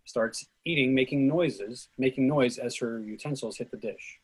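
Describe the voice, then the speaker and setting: A man's voice: mid-range voice, normal speed, a little mellow, breathy edge, poor audio. A man reading a play's stage directions as scripted narration.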